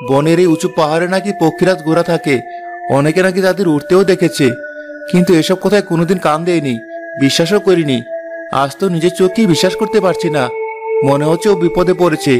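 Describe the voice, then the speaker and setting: Man as a high-voiced, kindly woodcutter. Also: fast, music in the background, natural voice. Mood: puzzled, wondering. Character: kindly, protective young father, a forest woodcutter. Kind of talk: Bengali fairy-tale cartoon voice acting.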